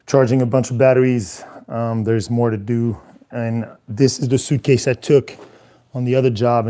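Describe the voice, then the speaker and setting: A man who is losing his voice, talking casually in a hotel room about his travel work as a cinematographer, halting with many ums. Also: medium pitch, warm tone. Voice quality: hoarse. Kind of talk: casual vlog talk while unpacking gear.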